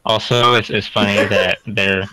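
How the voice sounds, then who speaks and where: Low, bright-voiced man joking around. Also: fairly even intonation, moderate pace, rough recording. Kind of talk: casual joking group chat. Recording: noticeable background noise, clicks.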